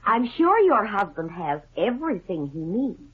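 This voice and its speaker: An actress playing a self-assured, pert young woman in a 1950s radio detective drama, with a slightly husky voice. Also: mid-range voice, sing-song, moderate pace, lightly acted. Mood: defiant, cool. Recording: dull, lo-fi vintage 1950s radio recording.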